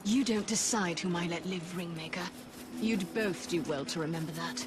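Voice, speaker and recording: An actress playing a stern, proud female warrior with a cool, measured delivery in a video game. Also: fairly low pitch, heavy background noise, natural voice. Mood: threatening. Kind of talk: video game cutscene acting.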